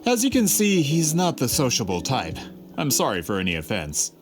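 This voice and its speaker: A formal, persuasive publisher, pitch swinging a lot; game dialogue. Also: male voice, huge pitch swings, normal speed, over music. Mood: courteous. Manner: formal, courteous, salesman-like. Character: polished adult male book publisher, courteous, persuasive. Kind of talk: video game voice acting.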